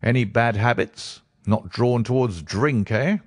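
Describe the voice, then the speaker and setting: A man voicing a brusque, bustling gentleman who asks blunt questions in an audiobook. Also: mid-range voice, measured pace, dry recording, studio quality, natural voice. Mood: abrupt. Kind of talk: audiobook character reading.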